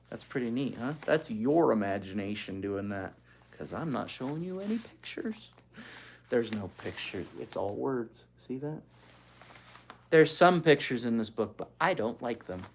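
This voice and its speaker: An expressive storyteller reading a children's book aloud, pausing to explain things to young listeners. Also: medium pitch. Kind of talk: animated read-aloud with asides to child listeners.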